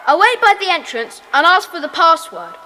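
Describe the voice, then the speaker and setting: A woman playing a bossy young schoolboy club leader in a children's audio drama, brisk and commanding. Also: very high voice, big pitch swings, bright, forceful tone, exaggerated voice. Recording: long hall-like reverb on voice.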